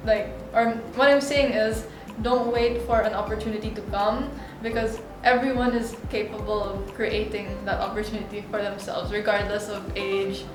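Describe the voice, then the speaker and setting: A young woman of nineteen, answering interview questions casually, with frequent laughs and fillers like "you know, like.". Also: medium pitch. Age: young adult, 19 to 20. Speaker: guest, online thrift shop owner. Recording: roomy recording with background music.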